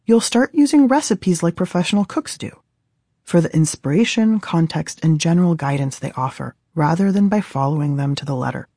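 A woman's voice: sits low, expressive, fairly quick, warm tone, no echo. An American woman in her thirties from Southern California, reading her own book aloud as scripted audiobook narration in a studio. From Southern California, USA. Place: dry recording studio.

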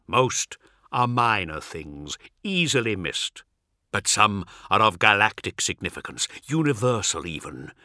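Man voicing a portentous, cryptic dark apostle. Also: lowish voice, big pitch swings, unhurried, dry recording, studio quality, exaggerated voice. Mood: grandiose, cryptic. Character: cryptic, grandiose dark apostle warrior, coolly mocking.

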